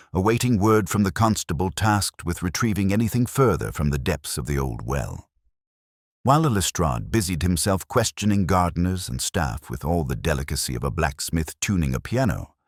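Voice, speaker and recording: A man with an extremely low voice narrates a detective story. His pitch moves up and down in lively swings, and the sound is dry and close. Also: dry recording. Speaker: audiobook narrator.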